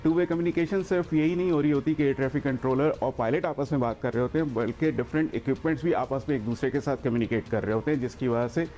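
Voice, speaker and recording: A man from Pakistan, a guest on a talk show, explaining his field in a measured way with many pauses. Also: mid-range voice, dark tone. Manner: measured, many hesitation sounds. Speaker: guest air traffic expert.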